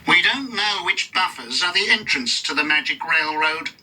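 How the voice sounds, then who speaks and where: A man voicing a bullying, villainous diesel engine for a children's storybook, with a threatening, drawn-out delivery. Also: on the high side, expressive, bright, forceful tone, slightly rough, distant mic, full character voice, low-quality recording. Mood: scheming.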